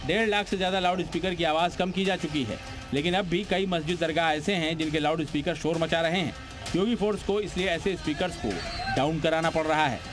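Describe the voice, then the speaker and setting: High-pitched man narrating news over music. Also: fairly quick. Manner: dramatic, punchy delivery. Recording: music bed behind the voice. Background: prominent background music.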